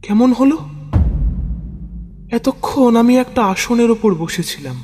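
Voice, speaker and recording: Guy acting as tantric mystic mid ritual, awed, halting, pitched up, swimming in reverb. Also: lively intonation, a little mellow, exaggerated voice. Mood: awed, startled. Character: tantric practitioner man, awestruck, straining through a ritual. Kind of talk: audio story dramatic monologue. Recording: long reverb, music bed underneath.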